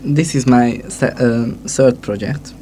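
Hungarian guy on a radio chat, mellow, stop-start. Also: mid-range voice, takes it slowly, slight background noise. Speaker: guest.

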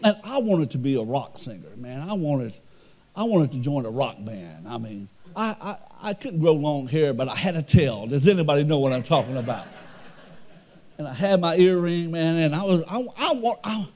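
A middle-aged man preaching a sermon as pastor, with a loud, carrying voice and an earnest, conversational delivery full of restarts. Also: fairly high pitch, lively intonation, normal speed. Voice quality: loud voice that carries.

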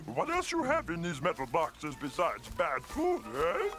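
A man's voice: high voice, huge pitch swings, measured pace, over music, full character voice. A man playing a small, old, mischievous green alien in a radio drama, with inverted word order and little hums and grunts. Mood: scornful, mischievous.

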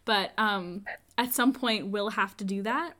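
A woman in her mid-twenties rambles through her thoughts in a casual chat, hesitating and circling back. Her voice is at an ordinary middle pitch. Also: a little slow, pushed and bright. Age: 20s. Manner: hesitant, rambling, says "like" often.

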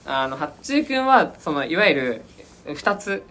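A man chatting casually and enthusiastically as he pitches drama ideas, acting out imagined lines. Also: big pitch swings, unhurried, pushed and bright, soft background music. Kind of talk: casual creative brainstorming chat.

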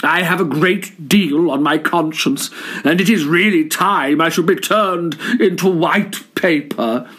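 A man's voice: a little high, unhurried, breathy edge. A man doing a pompous, boastful shirt collar. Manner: pompous, flattering. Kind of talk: storybook reading.

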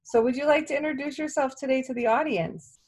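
A woman's voice: sits high, fast talker, a little mellow. A woman hosting a podcast interview, chatty and a bit rambling as she sets up her questions. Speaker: podcast host. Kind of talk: casual interview talk.

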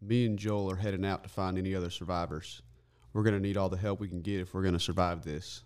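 A man's voice: low voice, little pitch movement, fast talker, darkish tone, clean tone, natural voice. A man playing a folksy, commanding Wild West survivor in an audio drama. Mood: calm, steady. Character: gruff, urgent Wild West rescuer, male survivor. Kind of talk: amateur audio drama acting.

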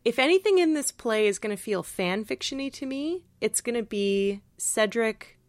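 A woman's voice: on the high side, expressive, moderate pace, no room sound. A woman co-hosting a podcast in casual analytical discussion, emphatic and reflective, sprinkling in "like" as she thinks aloud. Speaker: podcast co-host.

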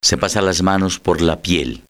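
A man's voice: sits low, measured pace, slight background noise. A man reading scripted dramatic narration as the narrator of a story. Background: music.

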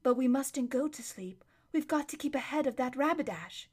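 A woman voicing a gentle, nervous talking mare who speaks softly and stammers shyly. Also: high-pitched, animated, normal speed, voice pushed up. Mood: anxious. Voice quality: soft, hesitant, near whisper.

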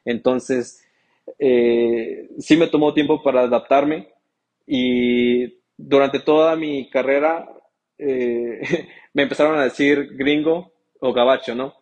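A young man whose first language is English and who grew up in the United States speaks in a steady, flat tone as a guest in an interview. He hesitates often, restarts his sentences and fills gaps with small stalling words. His pitch stays at a middle level for a man. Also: slow, dull audio. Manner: hesitant, many fillers and restarts. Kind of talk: casual interview talk.

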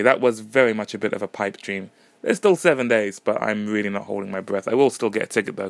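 A man's voice: lowish voice, gravelly, no room sound. Rambling, self-deprecating man. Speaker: podcast host and musician. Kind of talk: casual solo podcast chat.

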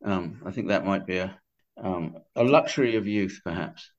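An older man with a lowish voice talks as a guest in a book interview. His delivery is conversational and tentative, doubling back to correct himself. The audio sounds somewhat dull and band-limited. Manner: tentative, self-correcting, halting. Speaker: podcast guest.

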